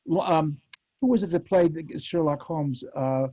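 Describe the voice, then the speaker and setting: An older man reminiscing casually as a panel guest, telling stories in a halting, rambling way over an online call. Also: fairly high pitch, warm tone, highs cut off. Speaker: panel guest, former child radio actor. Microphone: video call.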